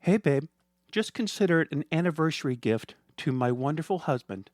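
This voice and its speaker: A man's voice: a little high, lively intonation, brisk, a little mellow, no echo, clean studio sound, pitched above the performer's own voice, natural voice. A man voicing an affectionate, flirtatious middle-aged wife in a comic audio story, with a coaxing manner. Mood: flirty coaxing.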